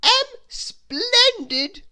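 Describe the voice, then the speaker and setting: Give the haughty storybook lady a high, shrill-bright voice that swoops dramatically. She is vain and snobbish, drawing out her words with pompous disdain. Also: big pitch swings, drawn-out words, heavily acted. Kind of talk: storybook character voice.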